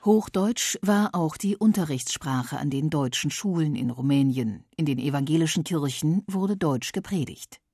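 A narrator reading a scripted documentary voice-over in a measured, even way. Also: medium pitch, no room sound, studio quality.

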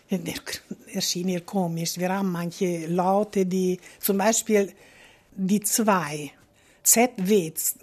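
A former maths teacher from Transylvania, answering an interview and sounding out words with care. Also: female voice, lowish voice, slightly rough. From Transylvania, Romania. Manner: lively, sounding out dialect words.

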